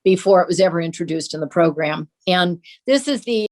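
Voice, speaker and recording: A woman's voice: fairly low pitch, lively intonation, fairly quick, darkish tone. An older woman speaking as an interview guest, sharing family memories. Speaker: guest, daughter of a children's TV show host. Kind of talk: reminiscing in an interview.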